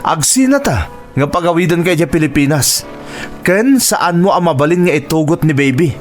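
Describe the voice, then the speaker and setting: A scornful, domineering husband in a radio melodrama, acted by a man. His fairly high voice leaps up and down as he bullies and sneers in a Filipino accent, with faint music underneath. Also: animated, normal speed, close to an everyday voice. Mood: threatening. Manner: harsh, bullying, sneering. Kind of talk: Ilocano radio drama acting. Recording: music bed under the dialogue.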